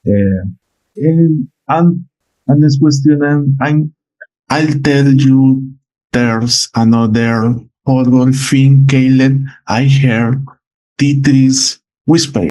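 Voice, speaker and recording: Slow, halting man hosting an interview. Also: mid-range voice, fairly even intonation, mellow, rough recording. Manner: halting, hesitant, repeats words. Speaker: interview host. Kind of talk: interview questioning.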